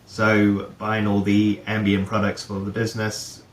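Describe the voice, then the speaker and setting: A man's voice: on the low side, monotone, unhurried, echoey room. A middle-aged man from New Zealand, a guest talking casually about his career, with many fillers and restarts. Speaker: guest, head of procurement. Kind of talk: casual interview chat.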